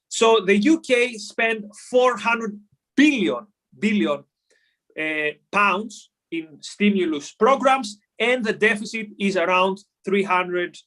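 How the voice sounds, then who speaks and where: A man with a Greek accent, originally from Greece, hosting a podcast and explaining an economics topic in an animated way, often pausing on fillers and restarting his sentences. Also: high-pitched. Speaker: podcast host. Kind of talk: solo explainer talk.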